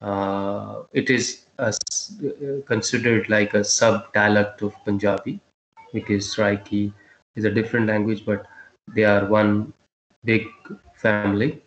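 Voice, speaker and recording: A male teacher giving a class lesson, quizzing his students, with a halting delivery full of fillers and restarts. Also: lowish voice, reverberant, muffled recording.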